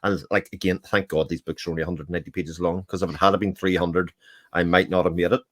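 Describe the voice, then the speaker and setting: A man's voice: sits low, flat delivery, fast, dry recording. A man hosting a book discussion in a casual, conversational way. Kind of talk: casual chat.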